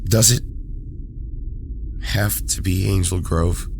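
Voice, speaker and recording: A man's voice: deep voice, fairly even intonation, slow pace, slight background noise. An actor playing a brooding, armored male warrior in service to evil, terse and menacing in an audio drama. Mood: defiant.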